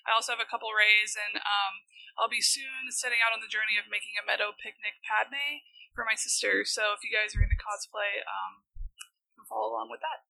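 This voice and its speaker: Woman, cosplayer, enthusiastic, bright voice, dry sound. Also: mid-range voice, fairly even intonation, a little fast, creaky, no room sound, low-quality recording. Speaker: panelist, cosplayer. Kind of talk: convention panel chat.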